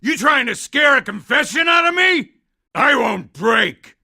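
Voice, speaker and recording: Casting: brash game henchman, high piercing rasp, blustering and strained, cocky street tough. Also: sing-song, unhurried, bright, forceful tone, no room sound, shouting, clean studio sound, heavily acted. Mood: defiant. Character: defiant, cocky criminal thug breaking into panic. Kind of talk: video game voice acting, interrogation lines.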